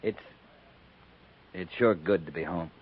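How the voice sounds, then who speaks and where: An actor playing a bashful, plain-spoken cavalry captain in a 1950s radio western. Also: male voice, low-pitched, very noisy. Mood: shy, tired. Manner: halting, bashful, plain frontier speech. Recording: narrow-band vintage 1950s radio recording.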